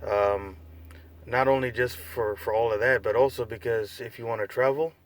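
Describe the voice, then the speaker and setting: A man's voice: medium pitch, steady tone, normal speed. A tired young man from the United States vlogging casually, rambling with lots of fillers and restarts. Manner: laid-back, rambling, many fillers and restarts. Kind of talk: unscripted casual vlog.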